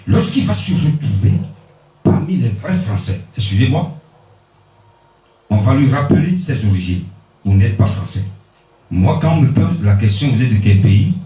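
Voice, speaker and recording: A man from Benin preaching a sermon, emphatic, with pitch swinging high and low for stress. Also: mellow. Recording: echoey room, mic at a distance.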